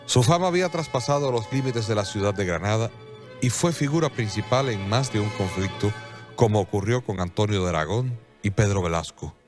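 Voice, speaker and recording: A man giving solemn, scripted radio drama narration with measured, dramatic pauses. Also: on the low side, lively intonation, music in the background.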